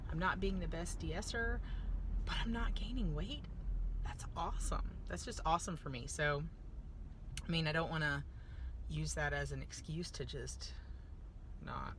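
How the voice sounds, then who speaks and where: A woman's voice: low voice, background noise. A woman vlogging a casual health update, rambling and chatty with many fillers, her voice still a little off from a lingering cough after the flu.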